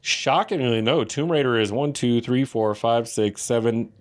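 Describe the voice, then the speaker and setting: Man in casual podcast talk, medium-pitched voice. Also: unhurried. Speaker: podcast co-host. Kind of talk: casual podcast chat about video games.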